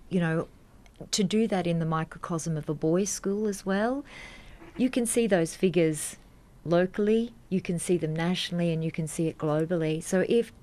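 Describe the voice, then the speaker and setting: An Australian woman, a teacher, explaining earnestly in a radio interview with emphatic repetition. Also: deep voice. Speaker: drama teacher, interview guest. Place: radio studio.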